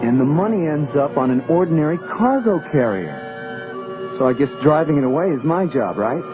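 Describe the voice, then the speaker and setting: A man's voice: a little high, big pitch swings, moderate pace. An actor playing an eager, earnest young rebel pilot who teases his friends. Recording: dull lo-fi 1980s record with music.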